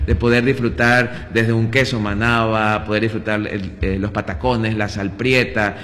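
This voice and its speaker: A man's voice: lowish voice, little pitch movement, normal speed, fairly bright tone. A man with an Ecuadorian accent speaking conversationally as a guest in a radio interview. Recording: roomy hall with long reverb.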